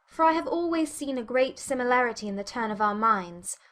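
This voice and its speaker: A woman playing a witty, spirited young Regency gentlewoman with an arch, teasing manner in a dramatized audiobook. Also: fairly high pitch, animated, a little fast.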